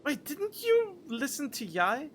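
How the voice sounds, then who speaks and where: A woman's voice: high voice, wildly swooping pitch, a little slow, slight background noise. A woman giving casual, playful playthrough commentary as a game streamer, full of joking asides. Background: game music.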